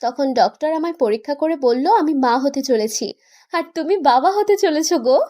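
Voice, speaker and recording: A woman's voice: high voice, expressive, moderate pace, mellow. A woman voicing an affectionate, playful young wife in a romantic audio drama, doting and teasing. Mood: explaining.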